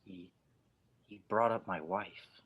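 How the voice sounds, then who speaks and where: Casting: skeptical, protective sidekick man acting, raspy and low, dry delivery, sci-fi audio drama. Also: takes it slowly, narrow, tinny audio, full character voice. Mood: unsettled. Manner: dry, doubting. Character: gruff, skeptical male pilot, protective older partner. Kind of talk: audio drama table read.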